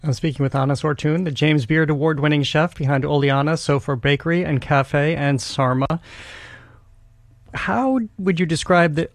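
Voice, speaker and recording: A man hosting an interview, introducing his guest and asking measured, articulate questions. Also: on the high side, fairly even intonation, dry recording. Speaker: interviewer and host.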